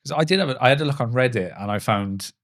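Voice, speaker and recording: A man's voice: medium pitch, expressive, quick pace, fairly bright tone. A man hosting a podcast in casual banter, chatty and joking as he talks. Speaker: podcast host.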